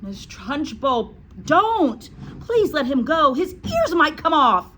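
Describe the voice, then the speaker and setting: A woman's voice: high-pitched, very animated, slow, bright, forceful tone, a little hiss or hum, pitched above the performer's own voice, heavily acted. A woman voicing an anxious, protective female teacher in a storybook, imploring and crying out. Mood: alarmed, pleading.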